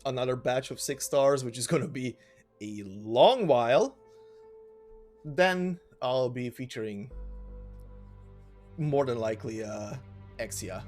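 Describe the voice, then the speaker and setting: A man's voice: medium pitch, expressive, takes it slowly, long pauses, darkish tone. A man narrating a gaming video in an informal way, moving between casual commentary and reading lore text aloud. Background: background music.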